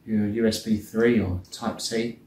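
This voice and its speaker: A man's voice: on the low side, level tone, unhurried, a little mellow. A man giving a chatty, informal product commentary as he unboxes and reviews gear. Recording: roomy indoor sound, faint noise.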